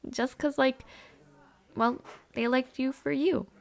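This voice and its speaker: A woman's voice: sits high, steady tone, takes it slowly, gravelly, full character voice. A young woman playing a friendly university student chatting informally with her dormmate in an audio roleplay, gossipy and sometimes hesitant. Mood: casual, shy. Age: young adult. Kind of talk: casual conversational audio roleplay.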